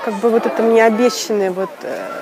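A woman's voice: on the high side, a little fast. Chatty woman, noisy crowd behind. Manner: animated, laughing often, many fillers and restarts. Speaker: video blogger. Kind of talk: casual personal storytelling.

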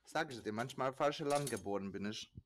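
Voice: mid-range voice, brisk, faint music behind. A non-native German speaker chatting with friends in voice chat, in slightly broken German. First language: not German. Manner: broken German, relaxed banter.

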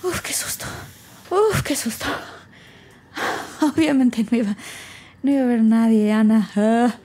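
A woman's voice: on the high side, measured pace, warm tone. An actress playing an anxious young woman alone in an old house, with a Mexican accent, talking nervously to herself in an audio drama. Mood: relieved, self-scolding. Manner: nervous self-talk, muttering, breaking into whispers. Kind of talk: naturalistic audio drama acting, spoken diary.

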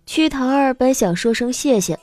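Animated woman narrating an audiobook. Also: high-pitched, normal speed, no room sound. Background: soft background music.

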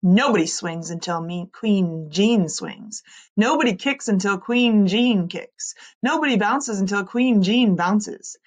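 A woman voicing a mean, bossy playground bully girl in a children's storybook, growling and snarling her loud demands. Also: mid-range voice, expressive, slow pace. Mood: furious, bossy.

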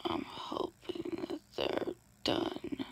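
A woman's voice: drawn-out words, poor audio. A young woman in pain, just woken up, whispering a video diary from bed into her phone. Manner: tired, whispery, mostly unvoiced. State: in pain, groggy from waking. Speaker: vlogger. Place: in bed in a bedroom. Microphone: phone front camera at arm's length.

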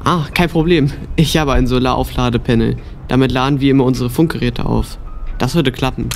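A man's voice: medium pitch, a little fast, gravelly, slight background noise, lightly acted. A male performer playing a resourceful, matter-of-fact young companion in an audio drama. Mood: helpful.

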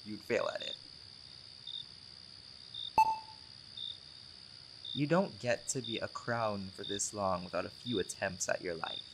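A man's voice: lowish voice, background noise. A man playing a laid-back, charming, centuries-old vampire who teases and jokes casually with a friend in an audio roleplay. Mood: teasing, cocky. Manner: joking, teasing, often chuckling.

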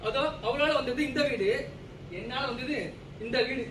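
A man's voice: very high-pitched, steady tone, normal speed. A man acting in a comic stage play as a pompous, nitpicking householder, scolding and exasperated. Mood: smug, dismissive. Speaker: stage actor. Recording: distant mics, echoey hall, noisy.

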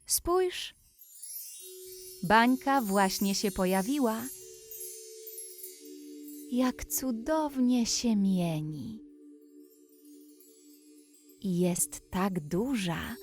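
A woman reads a children's bedtime story at an extremely slow, unhurried pace. Her voice sits at a medium female pitch and is gentle and soothing, addressing the young listener directly. Music plays clearly behind her throughout. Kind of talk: slow scripted bedtime story with guided relaxation. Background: background music.

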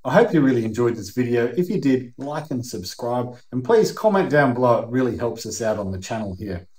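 A man's voice: medium pitch, sing-song, a little fast, a little mellow. Male video host, middle-aged, Australian. Conversational, plain-spoken instruction, recorded in an echoing workshop. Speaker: YouTube host. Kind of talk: explainer to camera, conversational. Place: indoor workshop or garage. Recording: roomy, echoing indoor sound.

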